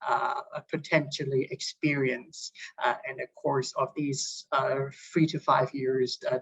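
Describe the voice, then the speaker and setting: A man in his early twenties from Hong Kong gives an informal, hesitant student presentation on an online call, with frequent filled pauses. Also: mid-range voice, fairly bright tone, slightly rough. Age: early 20s. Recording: dull lo-fi online call audio.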